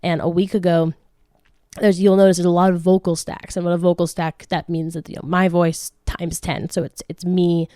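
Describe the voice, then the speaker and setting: A woman in her late twenties hosts a casual question-and-answer podcast, talking in a frank, rambling way that is full of laughter and restarts. Her voice sits a little low and sounds mellow and smooth. It is recorded close up in a dry, dead room. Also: level tone, moderate pace, dry recording. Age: late 20s. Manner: chatty, frank, swears freely, laughs often, many restarts. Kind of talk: casual chat.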